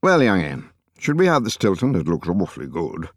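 A man's voice: deep voice, huge pitch swings, a little fast, no room sound, clean studio sound, heavily acted. Man playing a rumpled, avuncular British spymaster of sixty. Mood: casual, avuncular. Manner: old-fashioned clubby British phrasing. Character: rumpled sixty-year-old British spy recruiter, casual, avuncular. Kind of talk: acted dialogue in an audiobook story.

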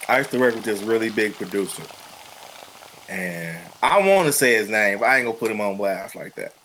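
A man's voice: on the low side, expressive, a little fast, a bit bright, slight background noise, poor audio. A man with an American accent casually telling stories and giving advice in an emphatic, colloquial way as a guest musician. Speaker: musician and producer, podcast guest.

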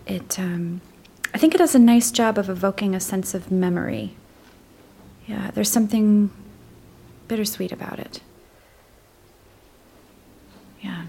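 An actress playing an earnest, polite new gallery attendant in an audio drama, soft-spoken, halting and tentative. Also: female voice, mid-range voice, vocal fry, breathy edge, full character voice. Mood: reflective. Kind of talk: naturalistic audio drama acting.

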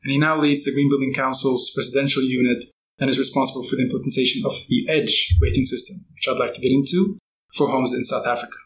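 A man hosting a podcast, reading a guest introduction steadily and then asking questions with soft backchannel replies. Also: mid-range voice, fairly even intonation, fast talker, slight background noise, low-quality recording. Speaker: podcast host. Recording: faint music behind.

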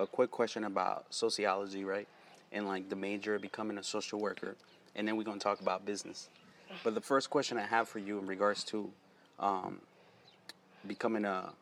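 Guy hosting an interview outside, lowish voice, chill and friendly, little background noise. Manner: loose and friendly, repeats words. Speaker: interviewer, host. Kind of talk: casual outdoor interview. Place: outdoors.

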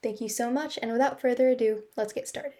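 Fast-talking woman announcing a podcast. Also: fairly high pitch, steady tone, warm tone. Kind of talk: scripted disclaimer narration.

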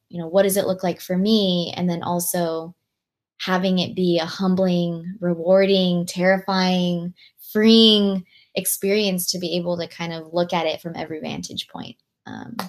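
Young woman, American accent, Filipino-language first tongue, lowish pitch, reflective podcast guest, conversational. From Long Beach, California, USA. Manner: reflective, peppered with "like" and "right?". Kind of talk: conversational interview answers.

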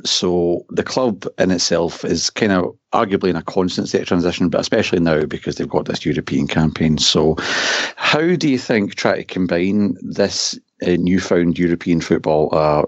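A man's voice: low voice, no echo. A Scottish man hosting a podcast in casual chat, hesitant with frequent fillers. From Scotland. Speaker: podcast host. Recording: dull lo-fi sound.

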